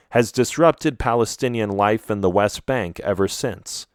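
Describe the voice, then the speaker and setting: A middle-aged man, a native Arabic speaker from Jerusalem, reads his memoir aloud in English. His voice sits fairly low and the sound is dry and close, with no room around it. The reading is steady, measured and earnest. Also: smooth, no echo. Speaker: author narrating his memoir. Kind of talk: audiobook memoir narration.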